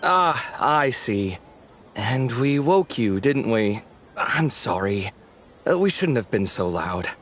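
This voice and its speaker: An actor playing a friendly, chatty young man in a video game, expressive and given to theatrical exasperation and sarcasm. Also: mid-range voice, takes it slowly, exaggerated voice. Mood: concerned, apologetic. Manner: animated, expressive, apologetic then sarcastic.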